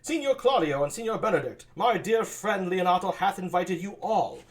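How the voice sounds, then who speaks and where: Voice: mid-range voice, animated, moderate pace, voice pushed up, lightly acted. A reader voicing a genial prince addressing his company, formal and raised.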